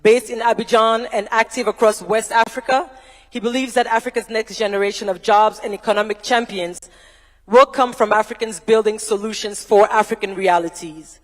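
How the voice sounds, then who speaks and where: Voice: mid-range voice, steady tone, moderate pace. A panel moderator who introduces speakers, hypes the crowd, then asks long, formal questions. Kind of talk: event hosting and panel questions. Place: large university hall, on stage. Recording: reverberant large hall.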